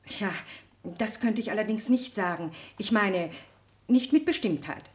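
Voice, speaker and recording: An actress playing a chatty, respectful French laundress who gives emphatic testimony in a German radio play. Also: female voice, medium pitch, unhurried, darkish tone, lightly acted. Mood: eager, emphatic. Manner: emphatic, eager, polite.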